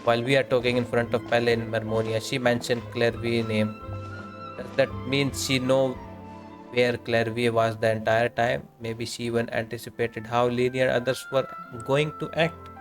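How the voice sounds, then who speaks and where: A man's voice: mid-range voice, level tone, moderate pace. Joking male game streamer over music. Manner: teasing, joking, informal. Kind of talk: casual live game commentary.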